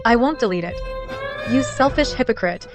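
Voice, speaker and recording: An actress playing a vengeful, taunting young rival who speaks accusingly and dramatically in a melodrama. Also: female voice, on the high side, expressive, moderate pace, lightly acted. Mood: bitter, accusing. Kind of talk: dubbed melodrama dialogue. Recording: music bed under voice.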